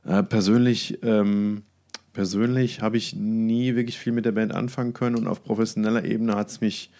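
Male podcast co-host, lowish voice, informal and anecdotal delivery. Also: level tone, moderate pace, warm tone. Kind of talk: casual anecdotal chat.

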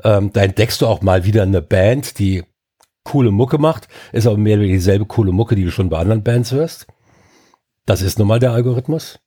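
A man's voice: fairly low pitch, brisk, warm tone, slightly rough. Older man with a stuffy cold, ranting. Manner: ranting, emphatic, many fillers. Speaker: podcast co-host. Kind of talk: casual opinionated chat.

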